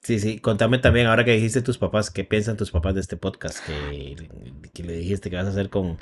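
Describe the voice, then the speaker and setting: Man, comedian host, teasing banter, very low voice, faint hiss behind. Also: fairly even intonation, a little fast. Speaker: podcast host and stand-up comedian. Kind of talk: casual podcast chat.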